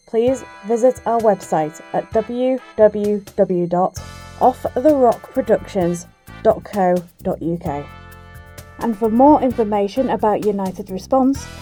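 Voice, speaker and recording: A woman's voice: medium pitch, dark tone. A woman reading scripted closing credits for an audio play as announcer. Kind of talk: scripted narration. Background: music.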